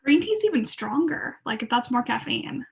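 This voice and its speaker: Woman just chatting at home, voice a bit high. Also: very animated, normal speed, creaky. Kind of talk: casual chat. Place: in an apartment.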